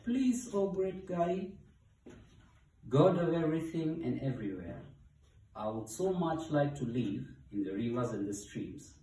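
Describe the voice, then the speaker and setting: Man acting a hopeful storybook hippo, high singsong voice, earnest, begging, roomy distant recording. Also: expressive, measured pace, dark tone. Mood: pleading. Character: eager, pleading hippo, hopeful and innocent. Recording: echoey room, distant from mic.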